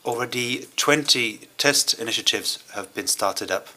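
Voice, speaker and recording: A man interpreting a German speech into English at a conference, with measured, hesitant phrasing and pauses. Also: medium pitch, bright, forceful tone.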